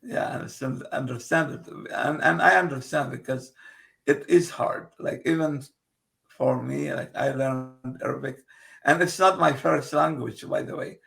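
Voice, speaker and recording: A man whose first language is Kurdish, a guest translator speaking haltingly in a casual interview, with frequent pauses and fillers. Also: medium pitch, gravelly, a bit bright.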